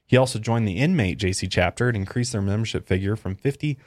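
A man co-hosting a casual true-crime podcast, reading case facts aloud in a relaxed way with fillers and asides. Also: on the low side, fast talker, no echo, clean studio sound. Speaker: podcast co-host. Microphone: studio podcast mic.

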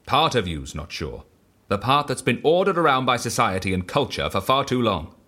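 An actor playing a reckless, exhilarated man on the run who lives by the dice, in an audio drama, excitable and evangelical yet matter-of-fact about outrageous deeds. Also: mid-range voice, normal speed. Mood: exhilarated, persuasive.

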